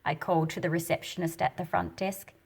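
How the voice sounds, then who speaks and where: A woman reading a storybook aloud and explaining it as she goes, in an expressive, deliberate manner with a slightly husky, breathy quality. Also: lowish voice. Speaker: picture book read-aloud host. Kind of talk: storybook read-aloud with teaching commentary.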